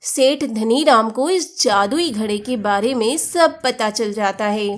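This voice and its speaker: A woman's voice: fairly high pitch, animated, takes it slowly. A woman reading scripted narration for a moral story as its storyteller.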